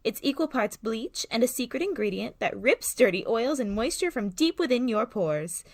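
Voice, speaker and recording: A woman's voice: on the high side, big pitch swings, moderate pace, no room sound. A woman playing a polished, cheerfully blunt saleswoman reading an old-time radio commercial in a presentational, salesy way. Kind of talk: parody 1940s radio commercial.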